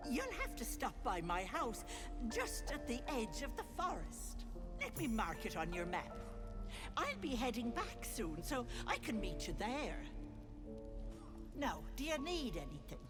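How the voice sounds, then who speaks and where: An actress playing a folksy, doting old herbalist auntie with a sly streak, in a theatrical, cooing video game performance. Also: female voice, fairly high pitch, wildly swooping pitch, music in the background. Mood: concerned, coaxing. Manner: cooing, theatrical, slow and sing-song endearments. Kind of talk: video game character acting.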